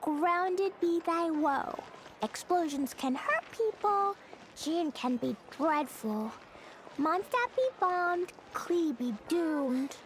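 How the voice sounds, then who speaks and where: A woman's voice: extremely high pitch, animated, takes it slowly, noisy background, heavily acted. A woman voicing an earnest little girl in a video game, with a childlike, singsong manner. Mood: earnest, reciting. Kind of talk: video game character acting.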